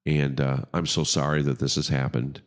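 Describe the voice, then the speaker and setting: Man, middle-aged, pastor, podcast host, very low big voice, emphatic, says "okay?" a lot. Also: little pitch movement, moderate pace. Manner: emphatic, with frequent "okay?" checks. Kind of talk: casual podcast conversation.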